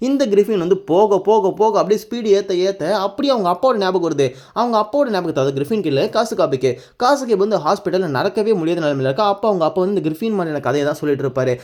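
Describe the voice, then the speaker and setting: A man's voice: sits high, darkish tone. A man recapping a story casually and informally, rambling along and mixing in English words. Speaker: YouTube anime recap narrator.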